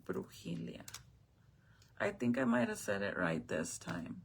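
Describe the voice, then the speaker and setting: Casual woman streamer, first language Spanish, gravelly fry, lively up-and-down delivery, swears easily. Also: extremely low pitch, unhurried, a little hiss or hum. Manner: chatty storyteller, swears casually. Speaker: live streamer. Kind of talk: casual chat with viewers.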